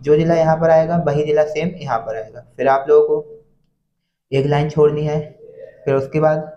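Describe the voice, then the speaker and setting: Male Indian coaching teacher, slightly high and mellow, methodical explainer addressing students. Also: fairly even intonation. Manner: reads English phrases slowly, then explains them; repeats a check-in phrase to students. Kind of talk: instructional lesson for students. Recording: dull, roomy echoing sound.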